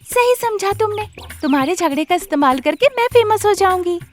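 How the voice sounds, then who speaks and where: Woman voicing a very high-pitched scheming young daughter-in-law. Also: animated, brisk, over music. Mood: bossy, eager. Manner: exaggerated, plaintive, eager. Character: scheming, fame-obsessed young daughter-in-law, whiny and excitable. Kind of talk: animated moral-story character voice.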